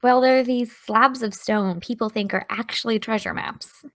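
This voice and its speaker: A woman's voice: a little high, fairly even intonation, moderate pace, natural voice. An actress playing an earnest, studious teenage girl with a chatty, eager, slightly awkward manner in an audio drama. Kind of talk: naturalistic audio drama acting.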